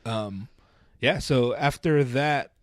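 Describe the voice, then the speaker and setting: A man's voice: medium pitch, takes it slowly, no echo, clean studio sound. A man in his thirties, a podcast guest, talking about his upbringing in a laid-back, nonchalant way and swearing freely. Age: 30s. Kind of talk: casual chat.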